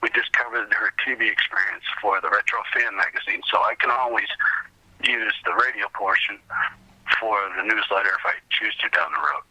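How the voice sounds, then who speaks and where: An American man talking casually as an interview guest, halting and rambling as he repeats words, over what sounds like a call. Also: on the low side, sharp tone, slightly rough, no echo, low-quality recording. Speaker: podcast guest, writer and interviewer. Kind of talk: casual conversational interview talk.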